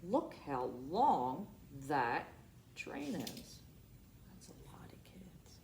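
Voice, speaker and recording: A woman hosting a storytime, reading aloud expressively for children and dropping in asides, moving between hushed and emphatic delivery. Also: low-pitched, very animated, very slow. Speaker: library storytime host. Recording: roomy echo with faint background noise.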